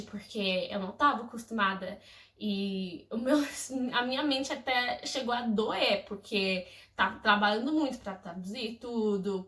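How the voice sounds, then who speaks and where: Bright-voiced gushing young Englishwoman vlogging. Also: medium pitch, roomy sound, distant mic. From England. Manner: effusive, gushing, lively storytelling. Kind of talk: casual chat to camera.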